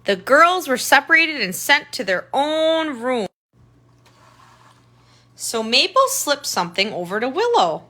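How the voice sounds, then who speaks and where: A woman's voice: sits high, sing-song, unhurried, pauses often, pushed and bright. A woman tutor reading a picture book aloud to children, adding simple explanatory asides and pointing things out. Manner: simple child-directed phrasing, pointing things out with questions and remarks.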